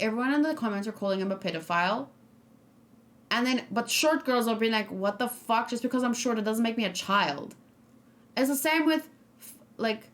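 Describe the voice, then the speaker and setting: A young adult woman chatting casually on a livestream as she reacts to a game trailer, frank and rambling, with lots of fillers and restarts. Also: mid-range voice.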